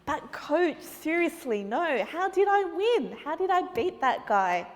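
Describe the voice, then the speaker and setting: Lady putting on a high eager little karate kid, all worked up, big echoey hall. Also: a little fast, lightly acted. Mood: pleading. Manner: pleading, breathless excitement. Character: eager excitable young boy karate student.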